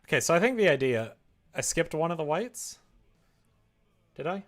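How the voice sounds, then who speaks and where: A man's voice: fairly high pitch, very animated, normal speed, a bit bright. A man livestreaming casually as he works, chatting and muttering to himself while he concentrates. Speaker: live streamer. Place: indoors at a computer.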